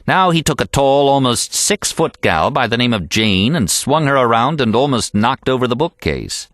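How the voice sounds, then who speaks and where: A man reading an audiobook in an expressive, animated storytelling style. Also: medium pitch, normal speed, dry recording, clean studio sound. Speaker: audiobook narrator. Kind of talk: expressive scripted audiobook narration.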